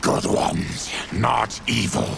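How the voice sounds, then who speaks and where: A man voicing a grim, honorable monstrous warrior in a video game, with a growling, gravelly voice and a solemn, terse, commanding manner. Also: lowish voice, takes it slowly, heavy background noise, heavily acted. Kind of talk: video game cutscene acting.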